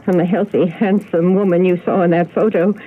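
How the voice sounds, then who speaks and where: An actress playing a genteel, agitated older spinster telling family secrets in a radio mystery drama. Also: female voice, lowish voice, fairly even intonation, a little fast, natural voice. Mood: wistful, confiding. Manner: fretful, genteel, dramatic storytelling. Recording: narrow, noisy old radio broadcast recording.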